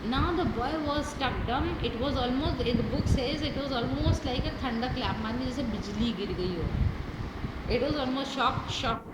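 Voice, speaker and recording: Indian woman teaching in an echoey room. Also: high-pitched. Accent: Indian English. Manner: explains slowly, rephrases words and asks "right?". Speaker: school English teacher. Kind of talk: classroom lesson, mixing English and Hindi. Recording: echoey room with loud background noise.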